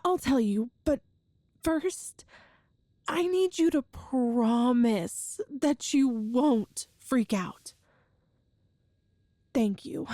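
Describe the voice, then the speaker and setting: Woman acting a young girlfriend, high-pitched, slow-paced, nervous, tender, earnest, hesitant, intimate ASMR roleplay. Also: mellow, dry recording, studio quality, full character voice. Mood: nervous, confiding. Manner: hesitant, halting, earnest, breathy sighs and nervous laughs. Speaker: audio roleplay performer.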